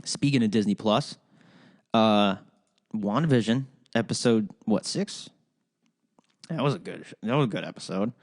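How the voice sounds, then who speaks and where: A man's voice: on the low side, animated, moderate pace, long pauses, no room sound, clean studio sound. A young man from Ohio with a Midwestern accent, hosting a solo podcast in a rambling, profane, casual way. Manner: rambling, profane, full of fillers and restarts. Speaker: podcast host.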